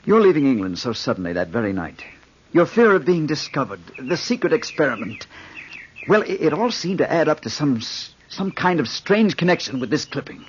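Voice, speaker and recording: A man's voice: a little high, sing-song, a little fast, slightly rough. A man playing a loyal, easygoing but probing friend in an old-time radio drama. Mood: concerned, probing. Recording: dull, faintly noisy old radio recording.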